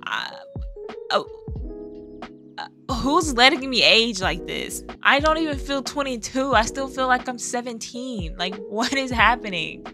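An American woman in her twenties chatting casually as a reaction host, playful, animated and slangy, close on the microphone. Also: on the high side, unhurried, over music. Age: 20s. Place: small bedroom studio, indoors. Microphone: close boom-arm microphone.

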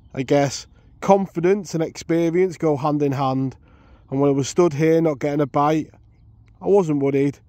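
A man's voice: medium pitch, no echo. A man talking casually through a fishing session outdoors at a reservoir, explaining as he goes. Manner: relaxed, explanatory, chatty. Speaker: angler vlogger.

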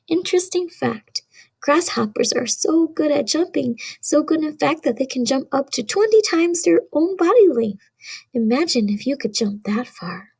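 A woman reading a children's picture book aloud as a storyteller, in an expressive way aimed at young listeners. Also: sits high, creaky, low-quality recording.

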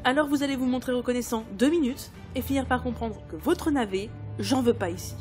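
Actress playing woman editor, cutting and sardonic, higher pitched, audio drama, clear music underneath. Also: brisk, darkish tone, lightly acted. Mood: scornful, exasperated. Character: sharp-tongued, sarcastic woman editor in a wheelchair. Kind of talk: French audio drama acting. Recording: music behind the voice.

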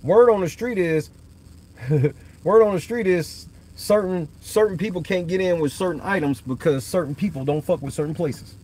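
A man's voice: sits high, normal speed, a little mellow, low-quality recording. A man in his thirties hosting a livestream, talking loosely and profanely in casual chat from a parked car at night into a phone on the dashboard. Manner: loose, profane, rambling talk. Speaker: livestream host.

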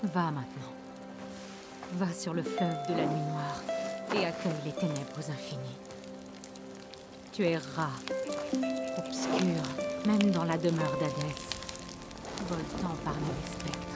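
An actress playing a solemn, embittered young rebel leader in a French video game dub, with a poetic delivery. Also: female voice, low-pitched, slow, long pauses. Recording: loud music behind the voice.

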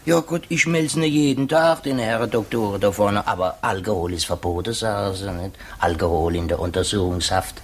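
An actor playing a good-natured, simple prison warder in a radio drama, talking in a halting, folksy, confiding way. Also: male voice, fairly low pitch, natural voice. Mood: friendly.

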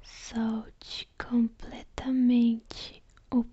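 Slow whispering Brazilian woman, ASMR meditation. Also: a little high, little pitch movement, lo-fi sound. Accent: Brazilian Portuguese. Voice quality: soft, breathy whisper. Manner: soothing, slow, gentle, affectionate. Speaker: ASMR creator guiding a sleep meditation.

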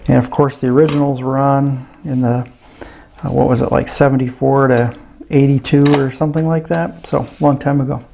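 A middle-aged man chats casually through a hands-on review in an easygoing, musing way. His mid-pitched voice is gravelly with creak. There is faint noise behind him indoors. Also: little pitch movement, moderate pace, dark tone. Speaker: gadget reviewer. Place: indoors at a desk.

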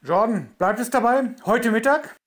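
A man's voice: very high voice, expressive, normal speed. A man playing a doctor speaking eagerly on the phone in a radio drama. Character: self-important male doctor on the phone.

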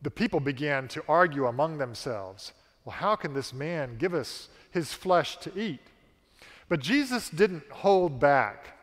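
A man in his forties preaching a sermon on a large church stage into a headset mic, slow and emphatic with dramatic pauses. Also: fairly high pitch, lively intonation. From American South. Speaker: pastor. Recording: long reverb of a church hall.

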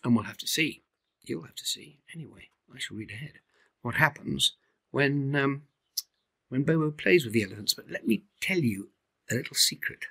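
A man in his seventies reading a children's storybook aloud in an expressive, theatrical way. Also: medium pitch, moderate pace, gravelly, slightly rough. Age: 70s. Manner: theatrical, animated delivery with hushed asides. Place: indoors, small timber-beamed room at home.

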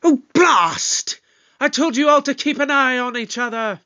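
Brisk, authoritative space detective in an audio drama. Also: sits high, fairly even intonation, pushed and bright. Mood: exasperated.

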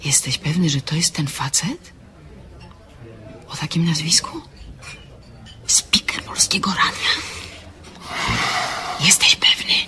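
A woman's voice: low voice, takes it slowly, long pauses, sharp tone, in a whisper, exaggerated voice. An actress playing a flustered, stubborn, impulsive woman who talks insistently in an audio drama. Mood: bewildered. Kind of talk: scripted audio drama acting.

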